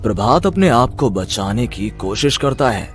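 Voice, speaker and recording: A man's voice: medium pitch, big pitch swings, unhurried, music in the background. A man narrating a scripted horror cartoon story.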